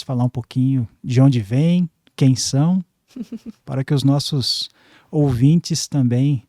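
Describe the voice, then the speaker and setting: A man's voice: a little high, mellow, no echo, studio quality. A man the São region hosting an interview, opening with formal welcomes and giving brief affirming replies. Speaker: host.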